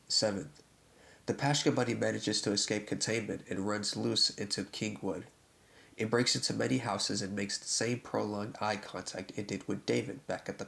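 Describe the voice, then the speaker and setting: A man narrating a scripted horror story recap in a deliberate way, reading out events in short phrases with a gravelly, creaky voice. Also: fairly low pitch, fairly bright tone.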